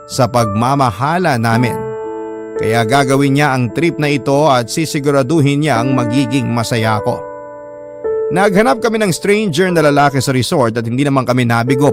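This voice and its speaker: A man with a Filipino accent narrating a first-person confessional love story as a scripted radio drama letter. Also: mid-range voice. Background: music.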